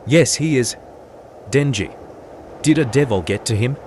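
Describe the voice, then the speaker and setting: A serious subordinate devil hunter, voiced by a man in a manga dub. His pitch is medium and his tone dutiful. Noisy urban ambience sits behind the voice. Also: a little slow, natural voice. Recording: noisy track with street ambience.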